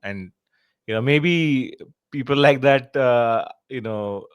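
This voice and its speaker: A man hosting a podcast, asking questions in a halting way with many restarts and fillers. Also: medium pitch, clear, resonant tone, dry recording, studio quality. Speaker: podcast host. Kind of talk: casual interview questions.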